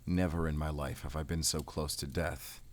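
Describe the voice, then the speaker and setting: A man playing a grim, determined werewolf hunter in an audio drama, measured, brooding and terse. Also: very deep voice, fairly even intonation. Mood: measured, intent. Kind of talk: cinematic audio drama acting.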